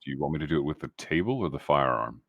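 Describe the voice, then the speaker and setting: A man's voice: rumbling low, lively intonation, very fast, dry recording, highs cut off. A man playing a tabletop role-playing game, trading casual banter in a wry, sarcastic way.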